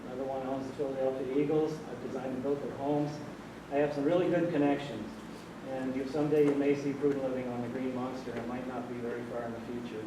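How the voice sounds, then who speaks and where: A soft-spoken man in his sixties from Vermont, speaking from the floor at a panel discussion in a rambling way with many fillers. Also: mid-range voice, flat delivery, fast. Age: 60s. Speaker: audience member at a panel. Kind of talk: public comment at a panel discussion. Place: large hall. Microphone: distant room mic. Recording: echoey hall, distant noisy mic.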